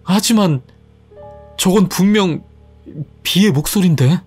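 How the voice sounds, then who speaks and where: A woman's voice: lowish voice, lively intonation, takes it slowly, a little mellow, slightly rough, soft background music, pitched above the performer's own voice, exaggerated voice. A man voicing a frightened young female college student who pleads and insists. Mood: eager.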